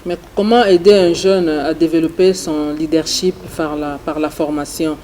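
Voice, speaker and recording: A male TV host asking formal, measured questions in a studio interview. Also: a little high, fairly even intonation, a little mellow, slight background noise.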